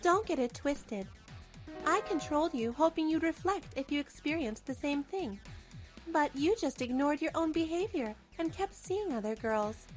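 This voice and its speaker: Woman acting high-voiced, cutting betrayed young wife. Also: animated, moderate pace, faint music behind. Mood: cold contempt. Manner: cutting, accusatory. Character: betrayed young wife, cold, scornful, righteously angry. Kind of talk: dubbed manga drama acting.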